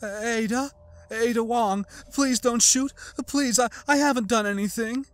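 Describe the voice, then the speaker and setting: A man voicing a young woman spy who pleads in a high, stuttering voice. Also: expressive, slow, fairly bright tone, exaggerated voice. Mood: frightened.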